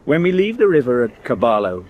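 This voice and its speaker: A man playing a reflective British naval officer, narrating an expedition in a measured, suspenseful radio drama style. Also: medium pitch, sing-song, dull audio. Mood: relieved, reflective. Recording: clear background ambience noise.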